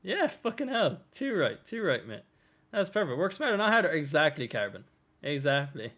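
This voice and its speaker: A man's voice: a little high, very animated, moderate pace, fairly bright tone. An Irish man hosting a casual open community call on a voice chat, bantering, laughing often and swearing freely. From Ireland. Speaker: host.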